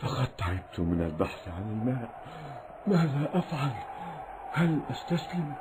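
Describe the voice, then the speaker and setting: Man acting a theatrical, pious desert traveler, swooping pitch. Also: big pitch swings, normal speed, noisy background, natural voice. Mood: desperate, weary. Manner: theatrical, exclamatory, emphatic delivery. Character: pious, thirsty desert traveler, kind-hearted grown man. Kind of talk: Islamic children's cartoon acting in formal Arabic.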